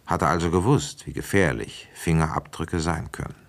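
Very deep-voiced, musing police inspector. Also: male voice, normal speed. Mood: matter-of-fact. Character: methodical male police inspector, musing and dutiful. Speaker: radio drama actor, narrator and lead. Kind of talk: German radio play narration and dialogue.

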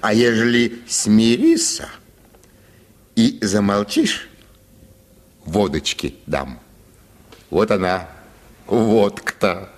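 An actor playing a stern, rough barber in a radio play, commanding, folksy and threatening. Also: male voice, fairly low pitch, big pitch swings, extremely slow, long pauses, full character voice. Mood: coaxing. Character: stern, rough male barber, menacing and righteous.

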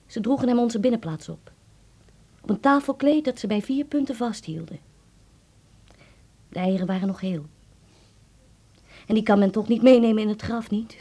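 A woman's voice: a little high, mellow, breathy edge. An actress playing a nostalgic, chatty German woman from a Berlin hotel family in a radio drama, telling stories with German phrases mixed in. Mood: somber recollection. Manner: storytelling, peppers speech with German phrases.

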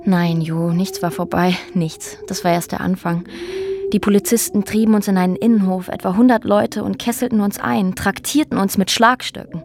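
A woman played by an actress in a radio drama, telling of a harrowing past with restraint. Her delivery is sober and measured, at a middle pitch, with thoughtful pauses. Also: steady tone, background noise, natural voice. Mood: grave, restrained. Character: woman quietly recounting a brutal police ordeal, former activist. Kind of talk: German radio drama dialogue and narration.

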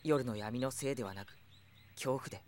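A man's voice: medium pitch, moderate pace, darkish tone, natural voice. A man playing a humble, earnest young archer knight in an anime audio drama, speaking politely and unsure of himself. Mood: anguished, determined.

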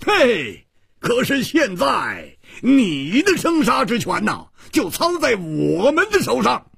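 A man voicing a hot-headed, indignant martial arts fighter in a wuxia audiobook, with blustering challenges and a husky voice. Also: extremely high pitch, wildly swooping pitch, normal speed, a bit bright, no echo, clean studio sound, pitched above the performer's own voice, exaggerated voice. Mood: defiant. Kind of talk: Chinese wuxia audiobook acting.

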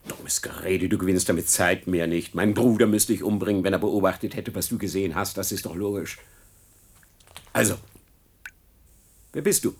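An actor playing a cynical, gloating murderous scientist with a mocking, taunting delivery in a German radio play. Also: male voice, low-pitched, normal speed, long pauses, husky. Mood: suspicious.

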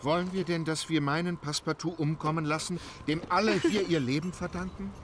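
An actor playing a composed, resolute English gentleman adventurer in an audio drama, speaking formally and politely. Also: fairly high pitch, fairly even intonation, a little fast, noisy background, close to an everyday voice. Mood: insistent.

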